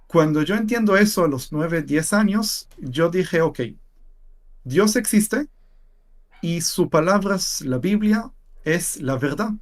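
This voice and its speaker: A man from Israel, a native Hebrew speaker, tells his personal story to an interviewer. He speaks slowly, in a slightly raised voice, and stops often on fillers and repeated words. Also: high voice, expressive. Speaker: interview guest. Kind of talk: personal testimony in conversation.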